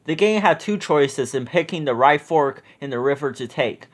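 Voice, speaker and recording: A man reading a children's story aloud in an expressive narrating style. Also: on the high side, normal speed, slight background noise. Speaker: storybook reader.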